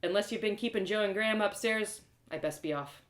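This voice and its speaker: A woman voicing a plucky, polite young heroine, wry and expressive, in a storybook reading. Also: medium pitch, fairly quick, echoey room, natural voice. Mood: earnest.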